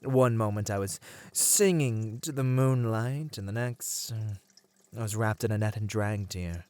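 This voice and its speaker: A man playing a captive merman in an ASMR roleplay, charming, plaintive and slyly persuasive, speaking gently in formal, wistful phrases. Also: lowish voice, measured pace, close to an everyday voice. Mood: resigned, plaintive.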